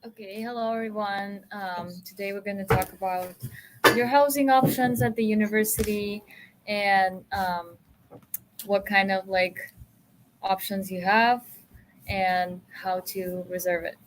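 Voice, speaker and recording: A woman's voice: medium pitch, fairly even intonation, measured pace, slight background noise, poor audio. A young woman from Uzbekistan, a university student, introducing a topic hesitantly with fillers. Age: young adult. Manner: hesitant, with ums and likes. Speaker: student presenter.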